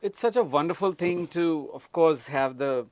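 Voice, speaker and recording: A man's voice: fairly high pitch, expressive, normal speed, a little mellow, no room sound. A man with an Indian accent, speaking casually as a radio host conducting an interview.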